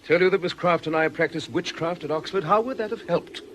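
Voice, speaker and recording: An actor playing a troubled, genteel vicar in a radio drama, courteous, educated and earnest. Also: male voice, on the high side, fairly quick, a bit bright, close to an everyday voice. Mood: earnest, pleading. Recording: faintly noisy old radio recording.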